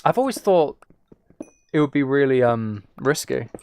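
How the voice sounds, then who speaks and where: A man's voice: medium pitch, expressive, measured pace, darkish tone, a little hiss or hum. A British man streaming a game and chatting casually with viewers, musing aloud in an informal, rambling way. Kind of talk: casual chat while playing a game.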